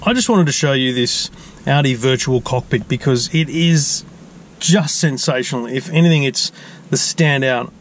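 An Australian man casually showing off a car's digital dashboard, relaxed and chatty with frequent ums and restarts, recorded inside a parked car. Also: medium pitch, moderate pace, a little hiss or hum. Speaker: tech reviewer. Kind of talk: casual car feature walkthrough.

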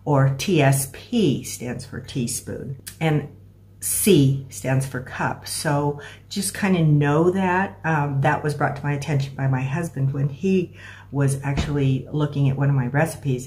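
A middle-aged American woman giving a casual, step-by-step cooking demonstration in a halting, explanatory way. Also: low-pitched, a little mellow. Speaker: home cooking instructor. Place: small room at home, indoors. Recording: clear background noise with clicks.

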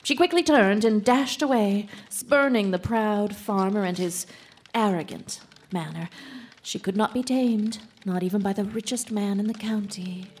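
An actress playing a pompous, bossy famous writer in a live radio drama, gushing and imperious in a theatrical manner. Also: female voice, medium pitch, a little slow, hardly any pauses, darkish tone, slight background noise, lightly acted. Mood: dramatic reading. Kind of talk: old-time radio drama acting.

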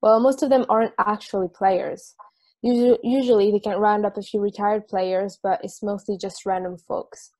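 A calm, plain-spoken football player on the run, read by a woman over a video call. Her voice is mellow and warm, sitting in a middle pitch. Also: normal speed, close to an everyday voice. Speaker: actor in a table read.

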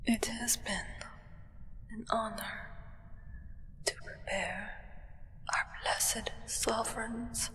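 A woman's voice: on the high side, fairly even intonation, very slow, long pauses, a bit bright. A young woman voicing a solemn, reverent acolyte of a vampire sovereign in a ceremonial ASMR roleplay. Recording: long reverb.

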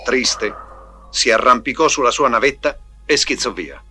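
A man's voice: medium pitch, animated, moderate pace, bright, forceful tone. A man reading scripted storybook narration as the narrator of a recorded tale. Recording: music under the voice. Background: music.

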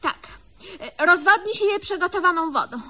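High-pitched, doting, lovestruck older woman. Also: expressive, normal speed, pushed and bright, heavily acted. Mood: fussy, coaxing. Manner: gushing, coaxing, motherly. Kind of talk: comedic radio play acting.

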